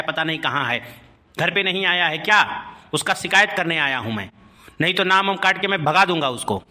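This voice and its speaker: A man's voice: a little high, bright, forceful tone. A man voicing a stern but easily cowed village schoolteacher in a comic cartoon, complaining and admonishing.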